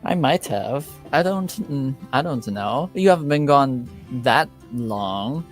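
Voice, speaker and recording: A man's voice: mid-range voice, expressive, slow, faint music behind. A man voicing an eager, affectionate young girl cousin who answers shyly. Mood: bashful.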